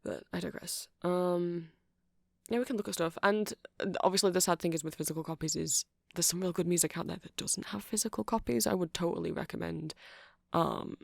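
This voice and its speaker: Man playing a creaky-voiced nerdy vampire shopkeeper. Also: a little high, no room sound, studio quality. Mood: earnest rambling. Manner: hesitant, apologetic, excitable rambling. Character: nerdy male vampire music-shop keeper, eager and rambling. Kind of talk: ASMR roleplay, casual chat.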